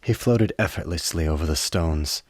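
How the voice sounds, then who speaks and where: A man narrating a radio play in a measured storytelling manner. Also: low-pitched, a little mellow, dry recording, clean studio sound.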